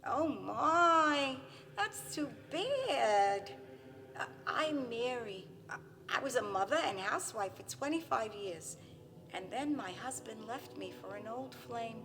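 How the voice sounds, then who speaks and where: Excitable housewife for radio drama, played by a woman: high, swooping, gushing and exclamatory, in long reverb. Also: slow pace, noisy background. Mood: sympathetic, hurt. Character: excitable, wounded housewife and mother in the afterlife. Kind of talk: theatrical radio play acting. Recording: long hall reverb with music behind.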